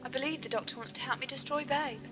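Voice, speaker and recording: A woman's voice: sits high, fast, music in the background, close to an everyday voice. A woman playing a defiant, wary young prisoner in an audio drama, curt and determined. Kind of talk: fan audio drama acting.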